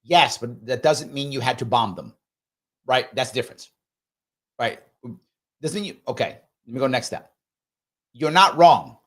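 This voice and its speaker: A man's voice: fairly high pitch, fairly bright tone. A man hosting a live talk show, reading viewer comments aloud and arguing his points emphatically, often tagging "right?" onto his sentences. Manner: emphatic, conversational, repeats himself, frequent "right?". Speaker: host.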